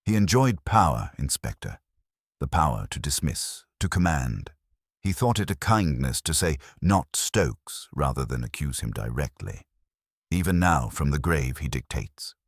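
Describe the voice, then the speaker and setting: Guy reading as a haughty composed lady suspect, cool sardonic bite, very deep husky voice. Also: very animated, unhurried, no echo, clean studio sound, full character voice. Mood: sharp, bitter. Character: composed, sharp-tongued, proud woman suspected of deception.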